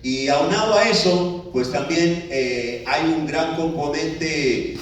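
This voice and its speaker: A man's voice: on the high side, takes it slowly. A man giving a live talk to an audience, in a conversational way with many filler words and restarts. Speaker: speaker addressing an audience. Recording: echoey room, picked up from a distance.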